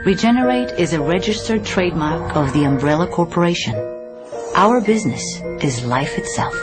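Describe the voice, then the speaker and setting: A sleek female corporate pitchwoman, played by an actress, speaks low with animated intonation. She is soothing and polished, a commercial voice-over under loud music. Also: normal speed, close to an everyday voice.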